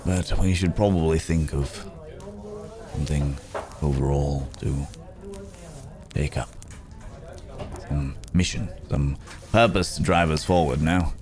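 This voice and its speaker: A man's voice: extremely low pitch, slow, pauses often, crowd noise. A man playing a courteous, world-weary werewolf traveller in an ASMR roleplay, speaking to the listener in genteel, formal phrases with musing hums and hesitations. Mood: pensive, cautious.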